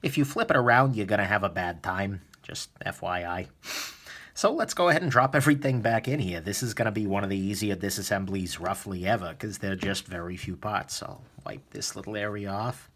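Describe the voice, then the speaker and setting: Bright-voiced man chatting through a repair. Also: lowish voice, a little fast. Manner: relaxed, chatty, many fillers. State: slightly sniffly from a cold. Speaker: knife reviewer, host. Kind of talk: casual tutorial narration.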